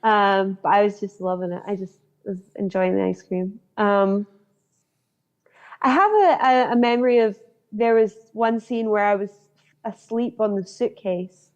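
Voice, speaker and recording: A woman's voice: mid-range voice, steady tone, unhurried. A woman in her twenties from Northern Ireland chats through an interview, telling stories with plenty of fillers. Her voice sits in a middle register. The sound is dull and lo-fi. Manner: chatty, storytelling with fillers. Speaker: guest actor. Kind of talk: casual interview chat.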